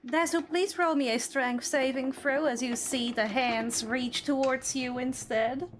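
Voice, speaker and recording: A woman's voice: sits high, fairly even intonation, unhurried, a little hiss or hum. A woman running an online tabletop roleplaying game as game master, narrating and calling for rolls.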